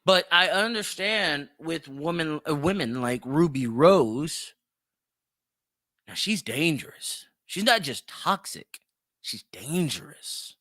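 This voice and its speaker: A man's voice: fairly high pitch, animated, slow, sharp tone, dry recording, studio quality. A man hosting a solo opinion show, speaking bluntly and profanely with mocking, emphatic repetition. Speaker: podcast host.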